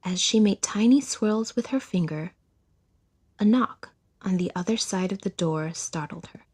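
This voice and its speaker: A woman's voice: mid-range voice, measured pace, darkish tone, slightly rough. A woman narrating a scripted audio drama story.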